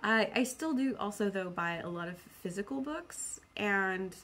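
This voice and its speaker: A woman's voice: medium pitch, lively intonation, a little slow, a bit bright. A woman chatting casually and spontaneously as a book vlogger, rambling in a playful, self-deprecating way.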